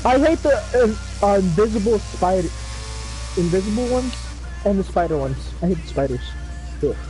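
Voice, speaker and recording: A man with a high, mellow voice chats loosely while gaming, his words trailing into fillers and casual swearing. His voice is going rough and hoarse. Faint music plays behind him. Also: lively intonation, moderate pace, poor audio. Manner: rambling, full of fillers and swearing. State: losing his voice. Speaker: gamer chatting on a livestream. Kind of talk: casual chat.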